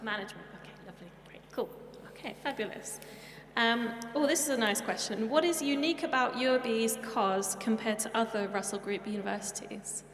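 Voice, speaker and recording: A woman's voice: fairly high pitch, fairly quick, a bit bright. Upbeat woman host in an echoey hall. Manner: chatty, laughing, upbeat. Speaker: event host and moderator. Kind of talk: casual moderating. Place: large hall on stage. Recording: echoey hall, faint noise.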